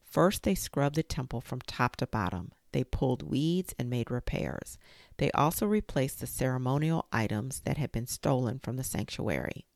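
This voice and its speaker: A woman hosting a storytime show, reading a children's history book aloud in a gentle, careful storytelling manner. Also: a bass voice, lively intonation, moderate pace, no room sound. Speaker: storytime reader.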